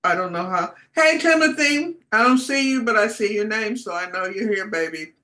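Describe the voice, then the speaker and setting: A woman hosting a video call meeting, greeting people warmly and talking in a rambling, affectionate way. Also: mid-range voice, expressive, normal speed. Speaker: meeting host.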